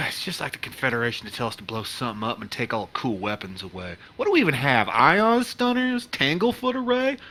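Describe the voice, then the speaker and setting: A man playing a jittery, sarcastic, easily awed spaceship officer in a comedic sci-fi play, with animated, wry delivery. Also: mid-range voice, a little fast, hardly any pauses, full character voice. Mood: griping.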